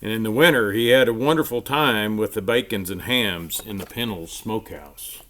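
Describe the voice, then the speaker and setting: A man with a fairly low voice reads a storybook aloud to children. His delivery is expressive and emphatic, in the manner of a storyteller. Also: normal speed.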